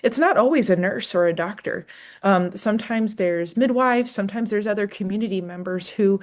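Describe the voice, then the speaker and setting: A woman presenter answering thoughtfully on a video call, pausing often with "um". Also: medium pitch. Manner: thoughtful, with many "um" pauses. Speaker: panel presenter. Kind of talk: answering a question in a webinar.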